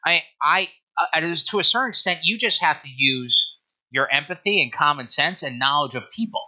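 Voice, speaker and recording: A man speaking as an academic interview guest, explaining research in an emphatic, halting way with repeated phrases. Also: fairly high pitch, animated, sharp tone, poor audio. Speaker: guest author and historian discussing own book.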